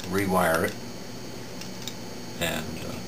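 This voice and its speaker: A middle-aged man giving an unscripted hands-on repair demonstration in a halting, matter-of-fact way, recorded at an indoor workbench. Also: low voice. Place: indoor home electronics workbench. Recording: steady room hum, air-conditioning noise.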